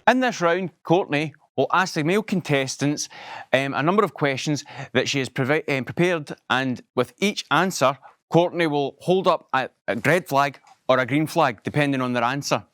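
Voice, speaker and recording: A man's voice: fairly high pitch, normal speed, fairly bright tone, dry recording. Male dating-show host, dry close sound. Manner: stumbling, repeats words. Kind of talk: presenting a dating game.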